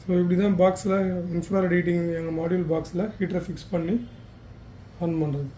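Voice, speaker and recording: High-voiced man, mellow demonstrator. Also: fairly even intonation, a little fast, low-quality recording. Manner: plain step-by-step explaining with some English technical words. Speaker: product demonstrator for a heater supplier. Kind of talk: hands-on instructional demonstration. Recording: faint background noise.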